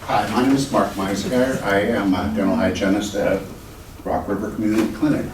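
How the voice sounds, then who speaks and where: A man's voice: on the low side, fairly even intonation, fairly quick. A man from the Midwest, a dental hygienist, speaking plainly and sincerely at public comment. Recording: echoey room, distant mic, clear noise.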